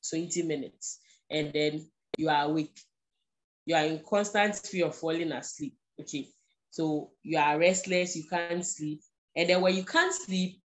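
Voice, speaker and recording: Slow-speaking Ghanaian woman explaining patiently. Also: on the low side, low-quality recording. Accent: Ghanaian English. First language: not English. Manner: patient, explanatory, repeats key points, often checks in with "okay". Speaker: guest speaker on mental health. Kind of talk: live-streamed explanatory talk.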